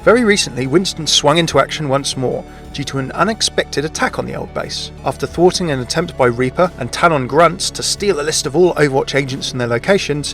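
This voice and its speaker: A man's voice: fairly high pitch, a bit bright. A man hosting a gaming video, reading scripted explainer narration.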